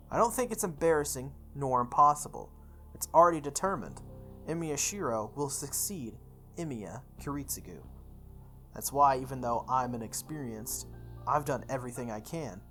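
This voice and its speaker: A woman's voice: extremely low pitch, a bit bright. A woman reading visual novel text aloud as a playthrough host, sometimes stumbling and re-reading lines. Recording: music behind the voice.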